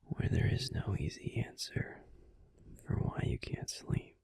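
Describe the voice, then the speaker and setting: A man voicing a caring young werewolf boyfriend in a gentle, breathy, unhurried whisper for a sleep-aid roleplay, close to the microphone. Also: natural voice. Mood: soothing, drowsy. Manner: gentle, soothing, unhurried reassurance with pauses. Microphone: close, dry microphone.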